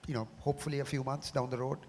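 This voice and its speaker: Hoarse Sri panelist, middle-aged man, measured and halting, long hall reverb. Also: mid-range voice, level tone, darkish tone, slight background noise. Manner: measured, often repeats words as he restarts. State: slightly hoarse from a cough. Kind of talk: panel discussion. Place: large conference hall.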